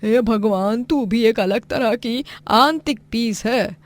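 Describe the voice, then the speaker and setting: A woman's voice: on the high side, slow pace. A woman voicing a grumpy, scolding older mother-in-law in a story cartoon, sarcastic and full of exclamations. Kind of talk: moral story cartoon voice acting.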